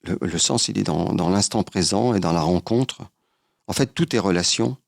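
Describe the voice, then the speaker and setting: A man answering as an interview guest, earnest and philosophical, often halting and repeating his words. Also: low voice, creaky, no echo, studio quality. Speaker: interview guest, travel writer. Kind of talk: reflective conversational interview.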